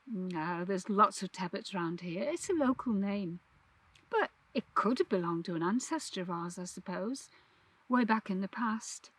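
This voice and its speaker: A woman's voice: medium pitch, lively intonation, unhurried, a bit bright, dry recording. Woman reading as a reassuring, practical dad. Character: kindly, practical father explaining things to his children.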